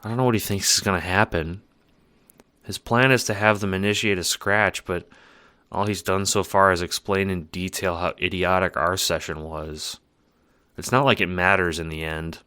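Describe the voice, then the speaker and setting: A man voicing a calm, weary troll girl who died, speaking in a flat, deadpan way in a fan audio drama. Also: deep voice, moderate pace, clean tone, no room sound.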